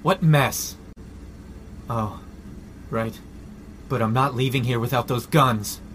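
A man's voice: mid-range voice, slow, a bit bright, slight background noise. An actor playing a defiant, foul-mouthed civilian survivor, a combative man in a zombie audio drama. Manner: combative, profane.

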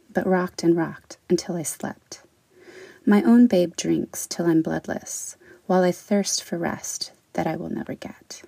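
Woman, poetry reading, lowish creaky voice, deliberate, articulate. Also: warm tone, dry recording. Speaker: poet, writer. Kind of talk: spoken introduction and poetry reading.

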